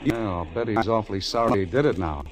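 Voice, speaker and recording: A man's voice: lowish voice, quick pace, natural voice. An actor playing a polite, easygoing male army corporal in a 1940s radio drama. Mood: startled, dry. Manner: polite, obliging. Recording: vintage 1940s radio recording.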